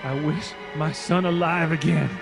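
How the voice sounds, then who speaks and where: A man's voice: fairly high pitch, steady tone, moderate pace, gravelly, over music. A man playing a sorrowful, fearful elderly husband in a radio horror drama, grave and anxious.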